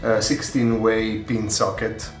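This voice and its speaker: A middle-aged man with an Italian accent, speaking in English, which is not his first language. He talks very slowly and stops often for filler sounds. His voice rings in an echoey room with faint guitar music behind. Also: lowish voice, little pitch movement. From Italy. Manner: slow, careful, many filler sounds. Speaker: hobbyist presenter. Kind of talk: unboxing a radio kit.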